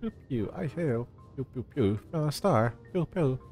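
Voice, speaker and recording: A man voicing a tiny, gentle star creature from a video game, earnest and halting, babbling small nonsense syllables between phrases. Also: medium pitch, lively intonation, faint music behind.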